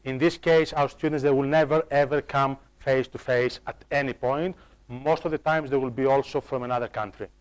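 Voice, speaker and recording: A man's voice: medium pitch, fairly even intonation, fairly quick, smooth. A man presenting on online course design in a live workshop. He often restarts and repeats words as he explains, and he checks in with the audience through questions. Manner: addresses the audience directly with questions. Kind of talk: live workshop talk to staff.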